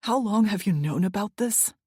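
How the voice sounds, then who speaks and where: A woman's voice: mid-range voice, expressive, moderate pace, a little mellow, husky, no echo, clean studio sound. A woman voicing an angry young man who sounds cold and clipped and talks through clenched teeth. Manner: clipped, seething.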